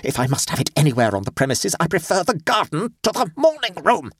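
A man voicing an imperious, indignant lady in a storybook reading, haughty and quick to rage. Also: a little high, sing-song, breathy edge, no echo, studio quality, pitched above the performer's own voice. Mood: indignant, shaken. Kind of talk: storybook character voice.

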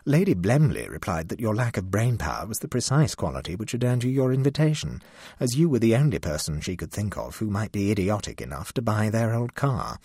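A haughty talking cat speaks with icy, unbothered calm, put on by a man reading a story. The voice sits low and a little breathy, with a dry, close sound. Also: fairly quick, dry recording, heavily acted. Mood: cold disdain. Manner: frigid, indifferent, deadpan. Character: aloof male talking cat, cold and superior.

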